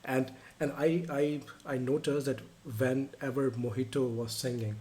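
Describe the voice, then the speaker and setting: Urdu-native guy reviewing a song, lots of uhs and restarts, average pitch voice. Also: steady tone, darkish tone, slight background noise. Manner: halting, thinks aloud with many fillers and restarts. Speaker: reaction video host. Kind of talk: casual music review chat.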